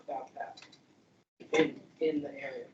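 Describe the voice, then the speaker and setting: A woman's voice: very deep voice, little pitch movement, a little slow, darkish tone, a little hiss or hum. A woman faculty member in casual discussion, rambling and thinking aloud with frequent restarts. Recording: distant echoey room mic, dull sound.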